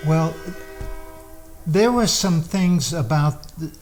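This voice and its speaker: Slow, dry-humored older man. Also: fairly high pitch, expressive, background noise. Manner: unhurried, halting, dry humor. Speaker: guest, host's father. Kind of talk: casual chat. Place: his condo, indoors.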